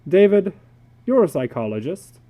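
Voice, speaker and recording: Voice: medium pitch, big pitch swings, normal speed, narrow, tinny audio, pitched above the performer's own voice, natural voice. A reader voicing a worried, defensive father in a dramatic story reading, with an earnest, questioning manner. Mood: anxious.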